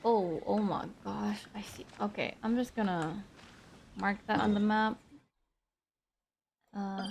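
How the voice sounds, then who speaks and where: A woman's voice: mid-range voice, steady tone, takes it slowly, creaky, a little mellow, slight background noise. A woman doing casual live gameplay commentary, muttering to herself with mild exclamations, with music behind her. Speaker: game streamer. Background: video game music and sounds.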